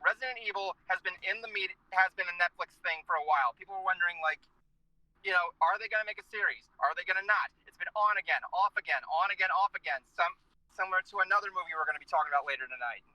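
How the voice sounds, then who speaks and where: Groggy joking man, bright dry voice. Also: high voice, racing, dry recording, low-quality recording. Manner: joking, chatty, self-interrupting. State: groggy after a day of work. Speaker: talk show host. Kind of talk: rambling casual chat. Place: inside his car.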